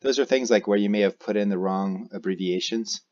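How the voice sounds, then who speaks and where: Low-pitched American man, hesitant tutorial voice. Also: a little fast, darkish tone, muffled recording. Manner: hesitant, thinking aloud while typing. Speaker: tutorial presenter, amateur radio operator. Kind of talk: unscripted screencast software walkthrough. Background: keyboard typing and mouse clicks.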